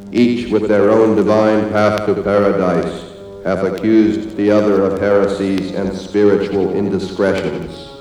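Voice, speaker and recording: Echoing, slow, solemn low-voiced man. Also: mellow. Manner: solemn, portentous, archaic oratory. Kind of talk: solemn scripted reading of occult prose. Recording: very echoey, distant, music underneath. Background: music.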